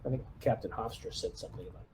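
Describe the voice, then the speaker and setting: A man chatting casually as a player in a tabletop game, speaking politely and haltingly. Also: a little mellow, low-quality recording. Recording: noticeable background noise.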